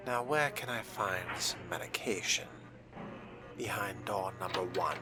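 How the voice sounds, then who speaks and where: A man voicing an aloof, curt fledgling vampire in a measured, dry manner for a video game role-play. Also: on the low side, over music, lightly acted. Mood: detached.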